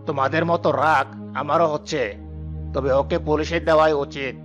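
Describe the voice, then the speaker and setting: A stern male village leader, played by a man with a fairly high voice. He is authoritative and measured in cartoon style, over clear music. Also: level tone, a bit bright, muffled recording, close to an everyday voice. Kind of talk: animated story acting. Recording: music behind the voice.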